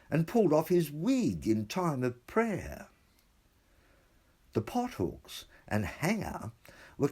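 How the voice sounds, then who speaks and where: A man giving a measured, theatrical reading of an old ghost account, in a deliberate storytelling manner. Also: fairly low pitch, a little mellow, breathy edge. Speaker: reader of a literary work.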